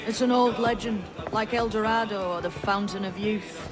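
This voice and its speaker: A man's voice: sits high, steady tone, normal speed, over music, natural voice. An actor playing a slight young male pirate, knowing and composed, in a video game. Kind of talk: video game cutscene acting.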